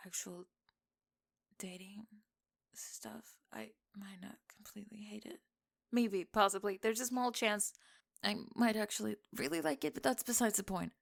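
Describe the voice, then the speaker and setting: A woman playing a young tomboy friend in an intimate ASMR roleplay, halting and flustered, teasing gruffly and often slipping into whispers. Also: a little high. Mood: shy, nervous.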